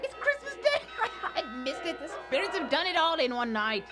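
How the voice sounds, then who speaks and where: A woman playing an old male miser reborn as a giddy, generous soul in a radio drama, exclaiming and repeating phrases excitedly. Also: very high voice, normal speed, loud music behind the voice, heavily acted. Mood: overjoyed, giddy.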